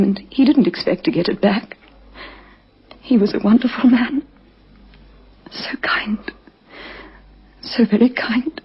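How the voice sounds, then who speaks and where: Radio drama widow: grief-stricken, hesitant, husky and breathy, muffled lo-fi sound. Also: female voice, mid-range voice. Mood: grieving, shaken. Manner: hesitant, faltering, hushed at times. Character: grieving recent widow, shaken and halting, adult. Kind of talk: radio crime drama acting. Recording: dull lo-fi vintage radio recording.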